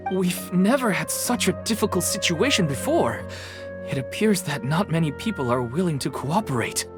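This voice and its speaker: An actor plays a dutiful game attendant with lively, swooping intonation. The delivery is flustered, deferential and hesitant, over faint music. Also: mid-range voice, normal speed, lightly acted. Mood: troubled. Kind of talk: video game cutscene acting.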